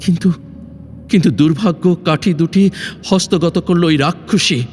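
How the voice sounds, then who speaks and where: A man's voice: fairly high pitch, normal speed, darkish tone, natural voice. A man playing a young, valiant, dutiful prince with solemn, formal, declamatory delivery in a fairy-tale audio drama. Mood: solemn, earnest. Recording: long reverb with music behind.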